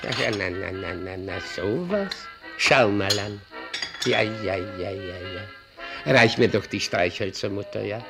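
Slow, creaky, muttering old doorman. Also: lowish voice, lively intonation, music in the background, exaggerated voice. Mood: absorbed muttering. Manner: muttering, rambling, self-satisfied. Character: old doorman, fussy, self-important, homely family man. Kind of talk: German radio drama acting.

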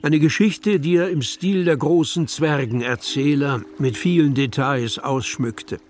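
An actor narrating a children's fantasy adventure as a measured, descriptive storyteller. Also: male voice, on the high side, natural voice. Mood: measured storytelling. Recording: music behind the narration.

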